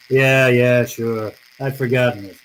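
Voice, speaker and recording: An actor playing a wry, tough, unflappable private detective, laconic and sardonic, in old-time radio style. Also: male voice, medium pitch, steady tone, takes it slowly, lightly acted, low-quality recording. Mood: sardonic, shrewd. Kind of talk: old-time radio drama acting and first-person narration.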